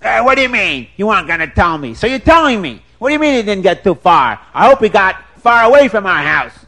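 A short-tempered brute from a storybook bellows his outrage, voiced by a male storyteller. His voice stays high and lively as he blusters. He fumes at anyone who intrudes. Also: fast, full character voice. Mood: indignant. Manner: blustering, indignant. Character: gruff, short-tempered man of the house.

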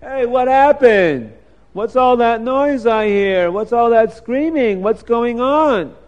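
A man voicing a naive, excitable little boy in a moral tale, exclaiming and explaining himself innocently. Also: high-pitched, normal speed, lo-fi sound. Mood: alarmed. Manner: exclaiming, innocent, self-justifying.

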